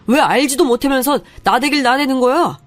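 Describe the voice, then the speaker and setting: An actor playing a young husband in a radio story drama, clipped and dismissive, with faint music behind. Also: male voice, extremely high pitch, fairly quick, fairly bright tone, no echo. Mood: irritated. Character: young husband, curt and defensive. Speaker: husband character.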